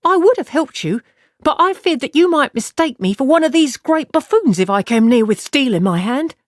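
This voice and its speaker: A woman reading a story, voicing a sharp-tongued woman fugitive with an odd foreign way of speaking, scornful and shrill. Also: lively intonation, normal speed, dry recording, clean studio sound. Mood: tart.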